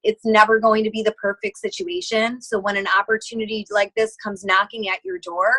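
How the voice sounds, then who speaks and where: A woman on a conference call giving a casual motivational sales pitch, earnest and encouraging in manner. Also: fairly quick, pushed and bright, husky, low-quality recording. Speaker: sales team member.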